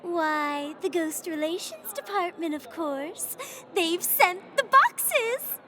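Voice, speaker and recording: A woman voicing an excitable, delighted lady ghost with a theatrical manner in an audio drama. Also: extremely high pitch, takes it slowly, full character voice. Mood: excited.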